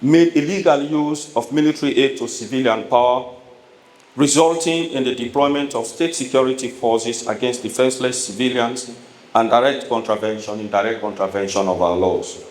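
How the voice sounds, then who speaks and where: A man with a Sierra Leonean accent delivers a formal prepared political speech as a politician, speaking emphatically and deliberately in measured phrases. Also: mid-range voice, a little mellow. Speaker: politician addressing a town hall. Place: echoey hall at a town hall event. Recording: echoey room with noticeable background noise.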